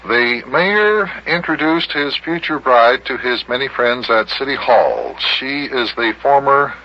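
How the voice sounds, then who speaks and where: Genial radio pal, dull vintage sound. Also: male voice, mid-range voice, lively intonation, unhurried, slight background noise. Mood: reading aloud. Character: genial, sensible grown-up radio pal named Big Jon. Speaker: host. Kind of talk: old-time radio comedy acting.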